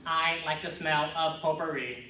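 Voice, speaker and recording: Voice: medium pitch, fairly even intonation, moderate pace, heavy reverb, recorded from a distance, very noisy, close to an everyday voice. A speaker voicing an emotionless robot that recites stored phrases in a stilted way. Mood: flat, mechanical. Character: flat robot voice box reciting backup phrases.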